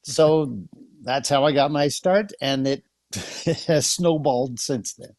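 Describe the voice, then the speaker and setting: A man's voice: mid-range voice, slow pace, a little mellow, no room sound. A man chatting casually as an interviewed performer about his work. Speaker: performer, guest being interviewed. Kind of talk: casual chat.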